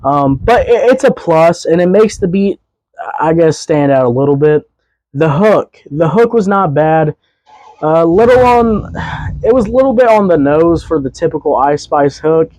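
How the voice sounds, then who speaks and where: A young man hosting a casual music review podcast, his voice a bit hoarse, rambling loosely with fillers and restarts. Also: fairly high pitch, lively intonation, moderate pace, darkish tone, dry recording, low-quality recording. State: voice slightly hoarse.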